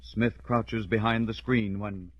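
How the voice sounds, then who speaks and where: A man's voice: fairly low pitch, fairly even intonation, slow, heavy background noise. A man narrating a scripted recap as a 1940s radio announcer, in a formal, dramatic manner. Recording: dull old radio recording. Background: music.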